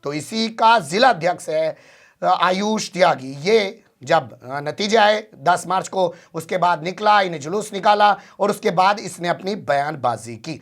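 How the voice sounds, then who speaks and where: A man's voice: high-pitched, lively intonation, unhurried, a bit bright. A man presenting news commentary in an emphatic way, posing rhetorical questions as he goes.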